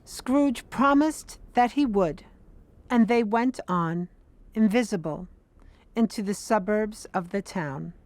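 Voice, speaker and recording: A woman narrating an audio drama as a scripted story, in a deliberate, measured manner. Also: medium pitch, a little mellow.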